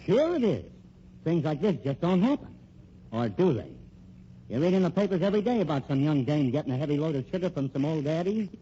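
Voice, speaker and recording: A man's voice: on the high side, very animated, brisk, warm tone. An actor playing a slick, menacing American private detective and con man in an old radio crime drama, wisecracking and pushy in tough-guy slang. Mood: pushy, scheming. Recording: dull old radio recording, faint noise.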